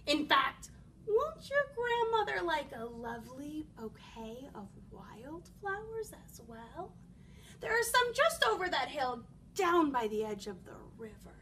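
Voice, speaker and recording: A woman voicing a sly, hungry male wolf in a storybook, falsely polite with drawn-out, oily phrasing. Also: high-pitched, very animated, sharp tone, recorded from a distance, a little hiss or hum, full character voice. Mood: sly, coaxing. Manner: drawn-out, oily, sometimes whispering.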